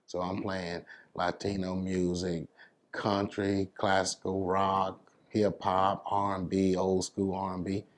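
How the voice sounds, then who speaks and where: Low-voiced American man, casual and colloquial. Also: completely flat delivery, slow. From New York City, USA. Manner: colloquial, peppered with "you know what I'm saying". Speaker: DJ, interview guest. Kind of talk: casual interview talk.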